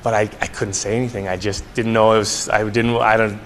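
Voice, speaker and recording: Canadian male colleague, casual and hesitant anecdote, even pitch, faint background noise. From Canada, Alberta. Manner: halting, self-correcting. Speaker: colleague giving a tribute.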